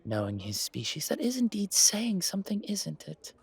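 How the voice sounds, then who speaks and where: A man voicing a pompous, disdainful vampire gentleman with fussy, formal speech in an audio roleplay. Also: high voice, big pitch swings, moderate pace, gravelly, slightly rough, voice pushed up, heavily acted. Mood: mocking. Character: pompous haughty male vampire gentleman, disdainful yet protective.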